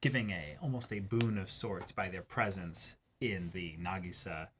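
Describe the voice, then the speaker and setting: A man with a bright, forward voice pitched a little low co-hosts a casual discussion show. He leans on his words, stretching and repeating phrases for emphasis as he gives his commentary. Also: normal speed, a little hiss or hum. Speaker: co-host of an anime reaction show.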